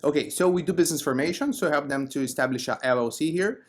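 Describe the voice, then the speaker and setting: A man's voice: on the high side, fast, husky. A man from Brazil with a Brazilian accent, explaining his business conversationally as an interview guest. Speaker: podcast guest, company co-founder.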